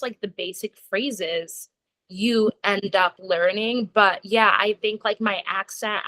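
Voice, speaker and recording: A woman's voice: mid-range voice, fairly bright tone, rough recording. A young woman from the United States, a guest in a casual video-call conversation, chatting freely with plenty of "like" fillers and laughing as she talks. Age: young adult. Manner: chatty, lots of "like" fillers, laughs while talking. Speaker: interview guest.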